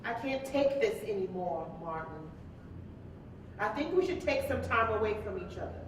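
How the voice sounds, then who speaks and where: An actress playing an anguished, neglected wife in a staged play, with an emotional, insistent delivery. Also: female voice, very animated, moderate pace, natural voice. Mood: fed up. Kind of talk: staged play reading, dramatic dialogue. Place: live staged reading in an echoey hall. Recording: very echoey live hall, distant mic, rumble.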